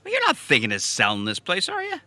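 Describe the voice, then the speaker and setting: Male actor, earnest, insistent diner cook for audio comedy; very sharp, bright tone, wildly swooping pitch. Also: wildly swooping pitch, quick pace, no echo, full character voice. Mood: worried, pleading. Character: stubborn, earnest, joke-loving man who cooks at a country diner. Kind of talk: comedic audio drama dialogue.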